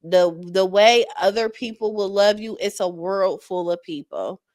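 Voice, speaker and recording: A woman in her forties hosting a podcast, chatting casually and encouraging her guest in quick bursts with repeated starts. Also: medium pitch, a little mellow, dry recording, clean studio sound. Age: 40s. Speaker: podcast host. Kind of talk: casual interview chat.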